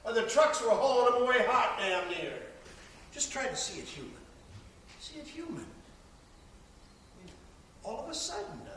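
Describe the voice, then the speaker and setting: A man on stage playing an older father, blustering and folksy, with emphatic, halting speech. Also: very high-pitched, fairly bright tone, heavily acted. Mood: agitated, defensive. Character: blustering, pleading, jovial older father, defensive businessman. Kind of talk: projected stage play acting. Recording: echoey stage hall, distant camera, noisy.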